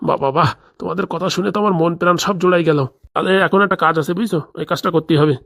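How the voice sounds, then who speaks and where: A woman's voice: on the low side, very fast, gravelly. A woman voicing a crooked, bossy male village boss in a comedy cartoon, scheming aloud with a rural Bengali accent.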